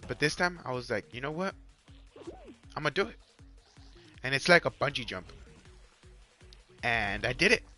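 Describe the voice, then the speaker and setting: A young man with a Mexican accent rambles through a story to his stream viewers, circling back and repeating phrases. His voice is bright and forward at an ordinary pitch, with faint music playing in the background. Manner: rambling, repeats himself, lots of "like" and "you guys". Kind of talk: casual live-stream chat while gaming.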